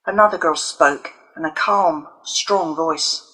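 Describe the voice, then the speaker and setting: Bright, husky woman narrating a story. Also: deep voice, low-quality recording. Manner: dramatic, deliberate storytelling. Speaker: author reading own novel. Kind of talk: scripted audiobook narration for older children.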